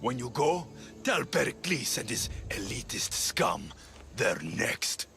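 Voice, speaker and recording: A man's voice: mid-range voice, very animated, takes it slowly, gravelly, full character voice. An actor playing an arrogant young male demigod who enforces a cult's will, sneering and threatening in a video game cutscene. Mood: menacing. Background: music.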